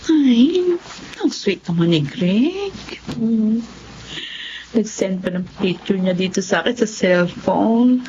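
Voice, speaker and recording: A woman's voice: mid-range voice, lively intonation, takes it slowly, dark tone. A woman playing an emotional unfaithful wife in a melodramatic Filipino radio drama. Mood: dreamy, smitten. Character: unfaithful wife Josie, tearfully pleading or dreamily smitten. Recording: dull lo-fi sound with faint noise.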